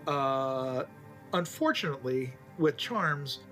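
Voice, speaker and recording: A man's voice: mid-range voice, expressive, takes it slowly, a bit bright, faint music behind. A man talking casually as a player in a tabletop roleplaying game.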